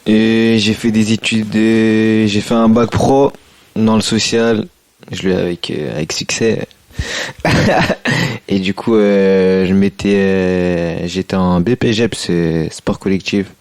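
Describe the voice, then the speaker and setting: A twenty-year-old man giving an informal interview answer in a hesitant, halting way. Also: on the low side, steady tone, darkish tone, no room sound. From born in Portugal, grew up near Paris from age six. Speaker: civic service volunteer.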